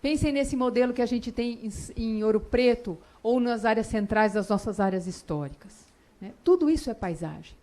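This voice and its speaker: A woman speaking on a conference panel in a large auditorium, expressive and emphatic as she answers questions. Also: a little high, moderate pace. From Brazil. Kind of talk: enthusiastic panel talk.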